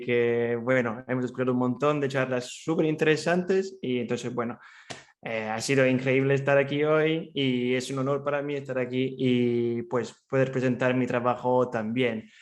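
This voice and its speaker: A young man from Rome speaks with a clear Italian accent in a voice of middling pitch. He gives a friendly, loosely paced conference presentation in a language that is not his first. He often pauses on fillers and starts phrases over. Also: fairly even intonation. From Italy, Rome. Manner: friendly and chatty, with many fillers and repeated restarts. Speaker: cyber threat intelligence analyst presenting at a summit.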